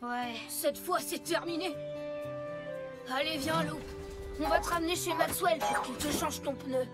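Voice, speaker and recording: A woman voicing a small, excitable, cheeky child motorbike in a children's cartoon dub, with a childlike, exclamatory delivery. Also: sits high, unhurried. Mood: dejected. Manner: exuberant, exclamatory. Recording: loud music bed throughout. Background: loud music.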